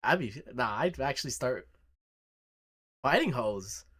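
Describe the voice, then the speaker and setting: A man's voice: medium pitch, animated, a little slow, a bit bright, slightly rough. A young man reacting to a video with casual, crude, slangy commentary. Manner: crude, joking, slangy asides. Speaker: reaction streamer.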